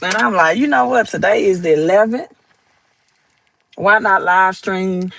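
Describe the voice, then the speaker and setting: A woman's voice: mid-range voice, unhurried, vocal fry, a bit bright, a little hiss or hum. A woman with a Southern accent hosting a casual crypto livestream from her phone, chatting, greeting viewers by name and reading their comments aloud. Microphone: phone held vertically.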